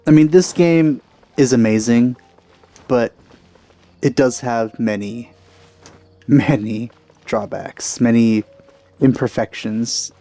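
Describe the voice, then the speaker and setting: A man's voice: mid-range voice, slow pace, long pauses, warm tone. A man giving casual let's play commentary as the host of a gaming video.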